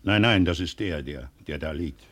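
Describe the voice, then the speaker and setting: An actor playing a loyal, kindly village family friend in a German radio play, hesitant and musing. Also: male voice, low-pitched, moderate pace. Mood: subdued.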